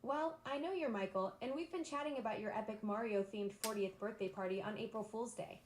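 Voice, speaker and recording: A woman's voice: on the high side, a little fast, reverberant, slight background noise. A synthetic female voice assistant talking in a bubbly, enthusiastic, eager-to-please way. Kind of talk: upbeat synthetic assistant chat.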